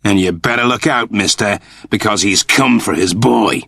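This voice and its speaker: A defiant young captive, acted by a male audiobook narrator warns his captor with cocky pride. The voice is fairly low and husky, recorded dry. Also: moderate pace, pushed and bright, no echo, heavily acted.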